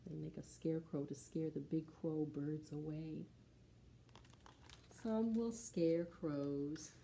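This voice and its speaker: A middle-aged woman hosting a preschool storytime, reading and talking to young children in an expressive, sing-song way. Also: low voice, slow, a little hiss or hum. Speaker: library storytime host for preschoolers. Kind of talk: children's storytime, singing and reading a picture book aloud.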